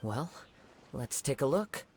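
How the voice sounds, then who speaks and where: A man playing a calm, perceptive young wandering swordsman in an anime video game, speaking in measured, formal, contemplative phrases. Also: medium pitch, background noise. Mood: pensive, serious. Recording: game music behind the voice. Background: game music.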